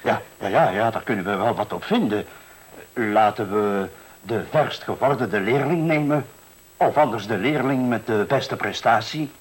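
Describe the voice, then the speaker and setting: An actor playing an eager, obliging assistant with a husky voice who readily agrees and repeats himself, in a Dutch radio play. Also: male voice, on the low side, moderate pace. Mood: eager, agreeable.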